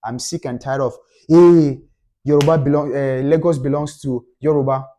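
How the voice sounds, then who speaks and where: A man's voice: medium pitch, steady tone, moderate pace, mellow, slight background noise. A young Nigerian man with a Nigerian accent, delivering a passionate opinion rant to camera and repeating his points for emphasis. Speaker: commentator.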